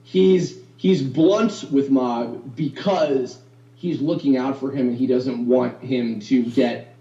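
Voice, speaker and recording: A man who voices anime characters, talking in a casual interview. He rambles with many fillers and restarts and has a baritone voice. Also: slow, dark tone. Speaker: voice actor guest. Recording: distant, roomy, dull lo-fi sound.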